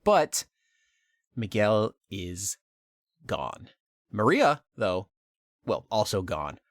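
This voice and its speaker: A man co-hosting a podcast, reading a scripted recap of a game story with wry humor and dramatic pauses. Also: fairly low pitch, very animated, dry recording, clean studio sound. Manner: wry, dramatic pauses, laughs at own lines. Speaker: podcast co-host. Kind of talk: scripted narration of a game plot.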